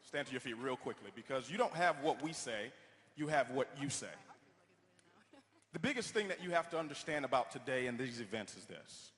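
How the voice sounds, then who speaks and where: A man's voice: mid-range voice, expressive, brisk, fairly bright tone. Commanding man leading a hall crowd. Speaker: closing speaker at a business event. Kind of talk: motivational stage speech. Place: large hall on stage. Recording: reverberant hall via PA.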